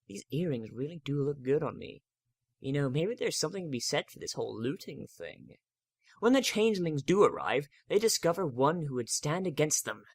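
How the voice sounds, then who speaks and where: A man giving a dramatic first-person reading of a story, expressive and wry. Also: fairly high pitch, moderate pace, no echo, clean studio sound. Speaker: narrator of a fan fiction reading.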